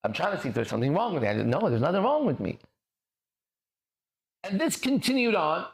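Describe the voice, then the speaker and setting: A man's voice: fairly high pitch, sing-song, fairly quick. A man giving a lecture to an audience, telling childhood stories in an intense, profane, storytelling manner. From grew up abroad, left home country at four. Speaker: motivational speaker. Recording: echoey room.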